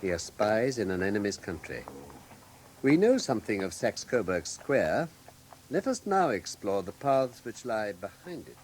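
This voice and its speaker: An actor playing a brilliant, self-assured British detective with precise, authoritative speech in classic radio drama style. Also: male voice, fairly low pitch, sing-song, normal speed, darkish tone, husky, rough recording. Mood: dry, assured. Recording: faint background noise, old radio production.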